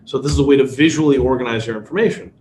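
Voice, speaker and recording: A middle-aged man with a Chicago accent, who grew up speaking Polish, giving a relaxed webinar lecture with everyday examples and frequent fillers. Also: mid-range voice, rapid-fire, roomy sound, slight background noise. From United States. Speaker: workshop presenter. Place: indoor room. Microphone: webcam video call.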